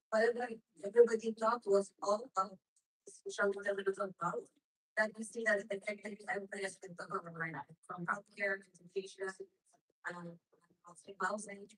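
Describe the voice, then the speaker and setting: A woman's voice: mid-range voice, fairly bright tone, slightly rough, poor audio. A woman in the audience earnestly asking a question at a public meeting.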